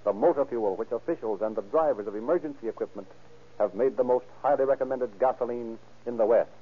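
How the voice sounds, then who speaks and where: A man's voice: mid-range voice, fast, noisy background. A man narrating and announcing in a formal, declamatory, scripted 1930s radio style. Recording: muffled, lo-fi old radio recording.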